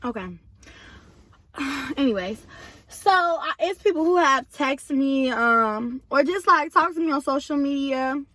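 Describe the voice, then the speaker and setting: A woman talks straight to her viewers in a loose, chatty way. Her voice sits high and comes across bright and forceful, though a little hoarse. The sound is dry and close. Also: animated, measured pace, no echo. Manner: chatty, addresses viewers directly. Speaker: vlogger. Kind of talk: casual chat to viewers.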